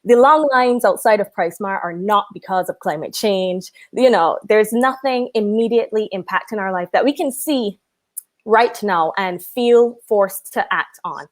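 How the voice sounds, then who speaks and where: A woman's voice: mid-range voice, normal speed. A woman with a Barbadian accent, an artist being interviewed, who answers earnestly and thinks aloud with ums and restarts. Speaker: artist guest.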